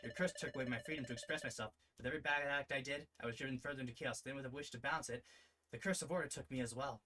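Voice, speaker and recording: Man playing a stoic, deadpan, reserved woman. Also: mid-range voice, slight background noise, close to an everyday voice. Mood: solemn. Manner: terse, deadpan, measured. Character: stoic, terse, reserved woman burdened by order. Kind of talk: visual novel character read-aloud.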